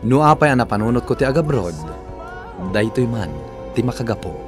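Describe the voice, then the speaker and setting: A man's voice: medium pitch, expressive, a little fast. A Filipino man reading listeners' letters as host in scripted radio drama narration. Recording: prominent music under voice.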